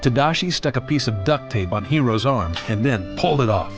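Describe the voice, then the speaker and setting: Lively male storybook narrator over music. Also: mid-range voice, fairly quick. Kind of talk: scripted children's read-along narration. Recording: music bed behind the voice. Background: background music.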